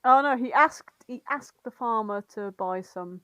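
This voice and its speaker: A woman's voice: on the high side, measured pace, a bit bright, no echo, rough recording. A woman co-hosting a podcast, telling stories casually in a giggly, irreverent, rambling way. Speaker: podcast co-host reading and summarising fan fiction. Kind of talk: casual comedic storytelling chat.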